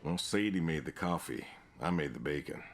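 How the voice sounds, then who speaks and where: An actor playing a weary, stubborn old American pig farmer in a video game, speaking in a subdued, laconic, plain way. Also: male voice, expressive, moderate pace, full character voice. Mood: reflective, matter-of-fact. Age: older. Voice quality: low, gravelly. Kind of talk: naturalistic video game dialogue.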